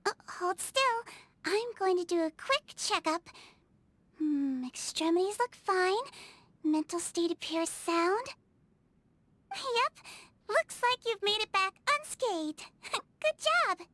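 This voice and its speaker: A woman voicing a small, cheerful, caring melusine nurse girl in a video game, with a sweet, polite and gently quirky manner. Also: very high voice, expressive, slow, long pauses, no room sound, exaggerated voice. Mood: bright, attentive. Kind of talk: animated video game character voice acting.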